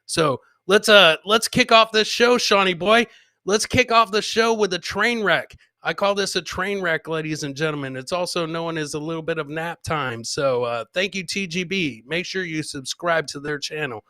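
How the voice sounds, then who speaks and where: A man's voice: fairly high pitch, moderate pace, sharp tone, dry recording. A man hosting a live show, chatting casually and slangily with his viewers and often repeating himself. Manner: slangy, repeats himself, addresses listeners directly. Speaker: podcast host.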